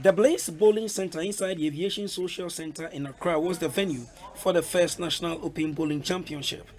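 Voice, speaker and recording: A man reading a scripted news report in a measured, even way. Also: a little high, noisy background.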